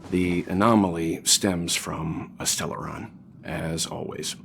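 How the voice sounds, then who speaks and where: Deep gravelly learned gentleman. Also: steady tone, a little hiss or hum, exaggerated voice. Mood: grave, explanatory. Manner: measured, scholarly. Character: composed, learned older gentleman, expedition veteran. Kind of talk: game cutscene exposition.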